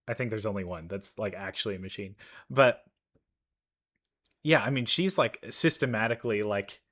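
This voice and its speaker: A man's voice: mid-range voice, a bit bright. A man giving casual, unscripted commentary as host of a reaction video. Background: faint music.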